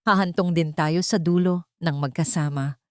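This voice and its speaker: A woman's voice: lowish voice, fairly even intonation, normal speed, darkish tone, no room sound, clean studio sound, lightly acted. Woman voicing earnest, devoted man in love. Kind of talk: romantic audio drama dialogue.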